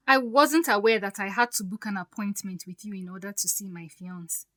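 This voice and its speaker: A woman's voice: medium pitch, fairly even intonation, moderate pace, natural voice. A woman acting in an audio drama as a poised, resolute fiancée who speaks with pointed, sarcastic politeness.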